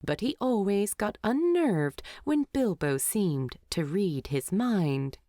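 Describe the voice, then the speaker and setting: A woman's voice: medium pitch, big pitch swings, slow, mellow, no echo, studio quality. A woman narrating a scripted story as an audiobook-style reader.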